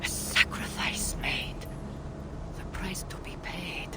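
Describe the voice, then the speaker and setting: An actress playing a solemn, mystical seeress in a video game, weary but firm, speaking in a measured, portentous way. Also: female voice, in a whisper, lightly acted. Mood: entranced, ominous. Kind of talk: cinematic video game acting.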